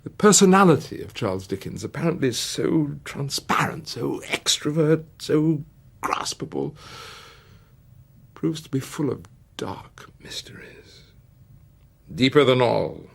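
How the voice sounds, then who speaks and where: A British man narrates a life story as theatre. His delivery swoops between low and high with dramatic pauses and heavy emphasis, and the voice has a husky, creaky grain. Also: lively intonation. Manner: dramatic, dwelling on words with long emphatic pauses and swells. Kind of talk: theatrical scripted biographical narration.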